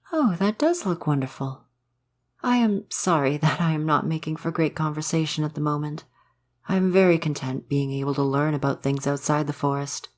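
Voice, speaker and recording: A man voicing a gentle, shy, curious forest spirit guardian in an intimate ASMR roleplay, speaking in careful, formal, hesitant phrases. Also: a little high, lightly acted. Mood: delighted.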